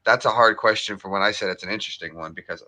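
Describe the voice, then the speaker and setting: A man's voice: fairly low pitch, very fast, bright, forceful tone. A man co-hosting a live stream, joking and teasing in casual banter and laughing often. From born in Germany, lives in the US. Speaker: livestream co-host.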